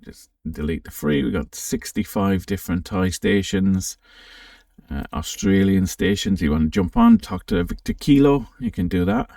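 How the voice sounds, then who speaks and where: A man giving a casual, unscripted software walkthrough, thinking aloud with some hesitation as he presents. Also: low-pitched, very animated, moderate pace, gravelly, studio quality. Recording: roomy, slightly distant microphone.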